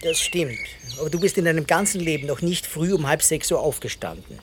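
A man's voice: on the high side, expressive, brisk, close to an everyday voice. An actor playing a bemused, indulgent father in a naturalistic radio drama, with a mild, questioning manner. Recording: clear outdoor background noise, birdsong.

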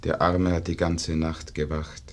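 An actor playing a grave, sorrowful young man in a 1950s radio verse drama, speaking with measured, melancholy weight. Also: a bass voice, little pitch movement, darkish tone. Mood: tender.

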